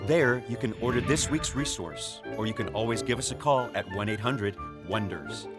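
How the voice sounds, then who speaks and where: A man reading a scripted promotional announcement in a smooth, polished manner. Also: on the low side, animated, moderate pace. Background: loud music.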